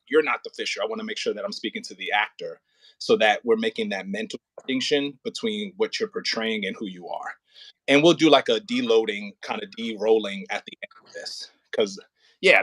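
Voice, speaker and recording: A man working as an audio play director and acting coach, talking casually and informally, with halting pauses and emphatic repetition. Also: medium pitch, fairly bright tone, slightly rough, no echo.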